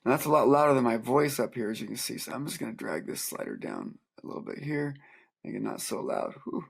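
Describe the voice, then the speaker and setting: A man teaching music, calmly talking through a software tutorial step by step with a soft voice. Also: mid-range voice, fast talker, vocal fry, slightly rough. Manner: calm step-by-step explaining, counting aloud. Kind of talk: screen-recorded software tutorial.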